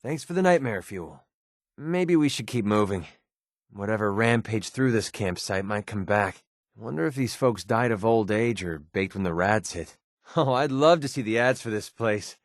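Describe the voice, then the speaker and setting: A man's voice: lowish voice, expressive, moderate pace, no echo, clean studio sound. An actor playing a cynical, wisecracking young male mercenary with an American accent in a video game, blunt, sarcastic and streetwise. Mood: wry, uneasy.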